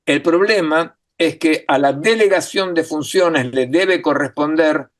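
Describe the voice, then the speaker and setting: A man's voice: fairly high pitch, a little slow. A man giving an academic talk in a language that is not his first, thinking aloud with many fillers and tag questions. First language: not Spanish. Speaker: lecturer.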